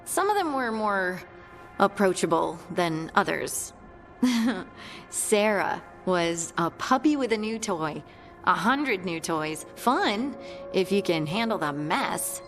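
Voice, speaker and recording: A woman playing a warm, earnest young scout in a fantasy video game, chatting in a friendly, conversational way. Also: mid-range voice, sing-song, slow, soft background music. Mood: fond, chatty. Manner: chatty, friendly, lightly amused.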